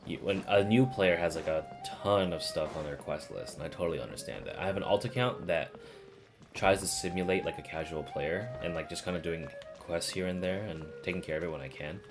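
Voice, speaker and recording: A man's voice: low-pitched, a little fast. A man chatting casually on a game livestream in a laid-back, rambling way. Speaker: game streamer. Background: game music.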